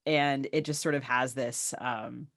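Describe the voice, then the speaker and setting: A woman's voice: rumbling low, steady tone, a little slow. A woman co-hosting a literary podcast, conversational and thoughtful, often searching for her words. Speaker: podcast co-host.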